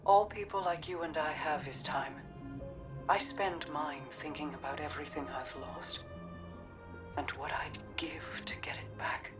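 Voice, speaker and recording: A deep, regal game queen, weary and wistful. She speaks like a reflective storyteller, very slowly, a little distant under clear music. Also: steady tone. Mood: weary, sincere.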